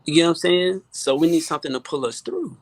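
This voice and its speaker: A man's voice: fairly high pitch, no echo. Guy producer on a casual panel, dry close sound, keeps restarting and saying you know. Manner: halting, repeats words, frequent "you know". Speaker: guest music producer and songwriter.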